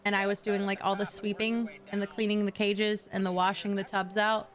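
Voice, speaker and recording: A woman's voice: medium pitch, level tone, fairly quick. A young adult woman from Kentucky, chatting casually on a live stream and answering her chat.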